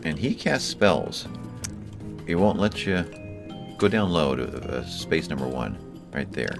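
A man's voice: extremely low pitch, sing-song, slow, vocal fry, over music. A man hosting a let's play, casually coaching his partner through tactics. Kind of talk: casual gameplay commentary. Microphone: desktop USB mic.

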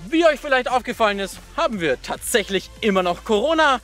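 A man's voice: extremely high pitch, expressive, brisk, a bit bright, soft background music. A man of 27 presenting scripted lines to camera in a wry, ironic way. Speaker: video presenter; teacher.

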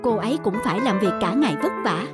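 A man's voice: sits high, lively intonation, fast, over loud music. A man voicing a stubborn young working husband in a cartoon, arguing his side persuasively. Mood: reflective. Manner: insistent, persuasive. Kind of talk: animated cartoon voice acting.

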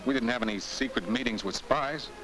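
An actor playing the regretful ghost of an American soldier in a plain-spoken 1940s film monologue. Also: male voice, medium pitch, a little fast, natural voice, rough recording. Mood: rueful. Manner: plain-spoken, rueful, confessional. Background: music.

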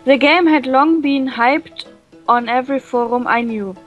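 A woman reading a visual novel aloud and translating it, with many fillers and pauses. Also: high voice, narrow, tinny audio, soft background music. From Germany. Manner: hesitant, many fillers, laughs often.

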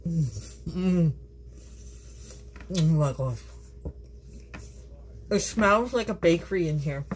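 A woman's voice: on the low side, animated, takes it slowly, darkish tone, a little hiss or hum. A woman from Canada hosting a casual home cooking vlog in her kitchen, chatting to viewers and laughing often. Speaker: home cook, channel host.

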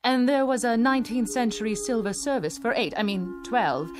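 An actress playing a fussy, scheming antique shop wife who gushes and exaggerates in a radio drama. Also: female voice, fairly high pitch, expressive, moderate pace, over music, natural voice. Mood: insistent.

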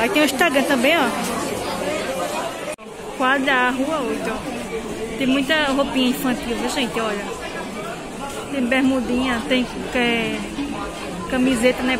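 A woman, filming a casual walk through market stalls on her phone and calling out prices to her viewers. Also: sits high. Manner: chatty, points out prices, asks vendors questions. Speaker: vlogger touring a market. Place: busy market stalls, half outdoors. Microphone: handheld phone camera. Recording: loud crowd noise behind. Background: loud market crowd.

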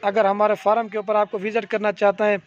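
A man's voice: high voice, completely flat delivery. A man with a Pakistani Urdu accent gives a casual sales walkthrough of his goat farm, repeating himself and often checking "theek hai". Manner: repetitive, salesy, keeps saying "theek hai". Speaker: goat farm seller showing animals. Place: outdoor farmyard beside a garden. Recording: slightly muffled phone audio, faint outdoor ambience.

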